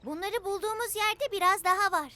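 Very high-pitched small child. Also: female voice, brisk, bright, forceful tone, slight background noise. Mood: cheerful. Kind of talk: animated children's cartoon acting.

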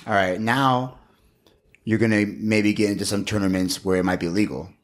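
A man in his thirties with a low-pitched voice chats as a guest on a podcast. He speaks in a measured way, thinking aloud and doubling back on words. The delivery is conversational, into a studio microphone. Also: fairly even intonation. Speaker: guest, gym owner and martial arts coach. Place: small indoor podcast studio. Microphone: podcast microphone on a boom arm.

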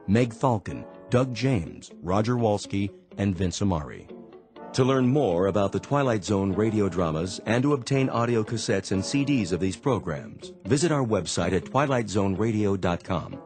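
Male announcer, formal, steady, low voice, music under. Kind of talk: reading credits.

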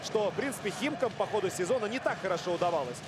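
A man calling a live basketball game play by play, brisk and lively. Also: high voice, a loud crowd. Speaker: play-by-play commentator.

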